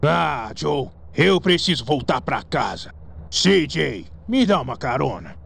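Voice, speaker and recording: Man acting a creaky, jovial fat gangster. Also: a little high, very animated, takes it slowly, fairly bright tone, full character voice. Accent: Brazilian. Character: laid-back fat gangster friend, gluttonous, jovial, smooth excuse-maker. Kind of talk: Portuguese fan dub of a crime video game. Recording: noisy game audio with vehicle sounds.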